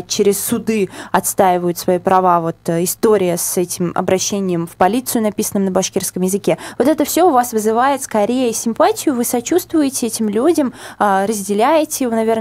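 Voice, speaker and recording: Ведущая радио в сухой студии. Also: female voice, medium pitch, no echo. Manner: lively, asks listeners questions and reads out numbers. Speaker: radio host. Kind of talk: radio talk show discussion. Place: radio studio. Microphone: studio microphone.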